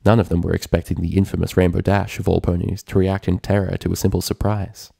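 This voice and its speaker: A man's voice: sits low, level tone, fast talker, vocal fry, warm tone, slightly rough, no echo, studio quality. A man reading a story aloud as scripted narration. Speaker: fan fiction reader, narrator.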